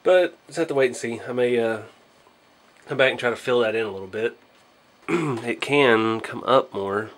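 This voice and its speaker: A man's voice: mid-range voice, moderate pace, a bit bright. A man hosting a casual hobby build vlog, speaking in a modest, hesitant way as he thinks aloud. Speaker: hobby channel host.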